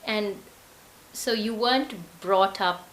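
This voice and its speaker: A woman's voice: medium pitch, takes it slowly, a bit bright, slight background noise, poor audio. A woman conducting an interview, asking questions and giving short encouraging prompts.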